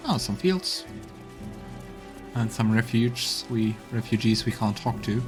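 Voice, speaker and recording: A man's voice: on the low side, lively intonation, measured pace. A man giving casual let's play commentary, musing and hesitant as he thinks aloud and reads out game text. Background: game music.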